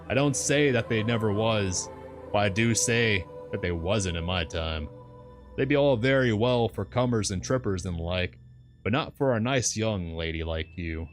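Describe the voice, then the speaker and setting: A man acts out a very old, skeptical storybook sailor. The voice is low, the manner brusque and folksy, and faint music plays behind it.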